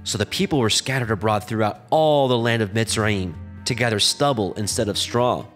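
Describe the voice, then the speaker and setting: A man narrating scripture from a script in a measured, solemn manner. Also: on the low side, a bit bright. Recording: music behind the voice.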